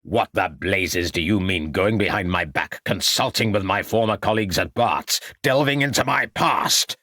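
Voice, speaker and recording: A man's voice: deep voice, very animated, normal speed, dry recording, studio quality, heavily acted. A man acting out a blustering, furious doctor, snarling. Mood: furious, accusing. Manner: growling, snarling, shouted outbursts. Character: angry blustering male doctor, indignant and threatening. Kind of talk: audiobook character acting.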